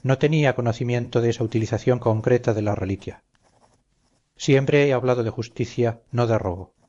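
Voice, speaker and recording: A man's voice: medium pitch, brisk, darkish tone, dull audio, lightly acted. A man playing an earnest, defensive priest in an audio drama, formal and protesting. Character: earnest, defensive male priest, preacher of justice. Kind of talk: dramatized audio story acting.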